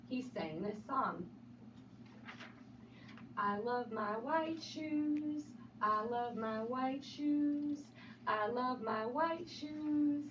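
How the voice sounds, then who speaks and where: Woman, children's storybook reader. High pitch, unhurried, sing-song delivery. Dull, roomy recording with faint noise. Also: fairly bright tone, far from the mic. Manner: sing-song, repeating refrains and asking questions. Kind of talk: animated children's read-aloud.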